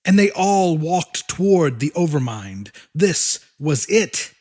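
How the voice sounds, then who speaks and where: A man's voice: a little high, very animated, slow pace, a little mellow. A man narrating a fiction audiobook in a dramatic, scripted storytelling style.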